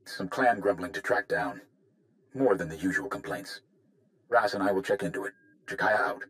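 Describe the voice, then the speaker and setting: An actor playing a gruff, loyal Mandalorian warrior advisor in a video game, measured and blunt. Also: male voice, low voice, animated, a bit bright. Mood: wary respect. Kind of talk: video game cutscene acting.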